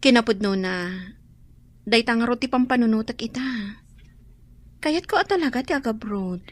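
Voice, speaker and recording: Earnest young woman, husky voice. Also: fairly high pitch, expressive, normal speed. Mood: earnest, heavy-hearted. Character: earnest, dutiful young woman working abroad. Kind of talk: radio drama acting.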